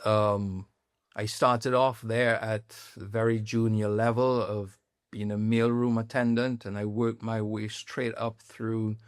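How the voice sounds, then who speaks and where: A middle-aged man with a Trinidadian accent, a podcast guest talking politely and at a measured pace, with frequent ums. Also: fairly low pitch, flat delivery, clear, resonant tone, no echo, studio quality. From Trinidad and Tobago. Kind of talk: podcast interview.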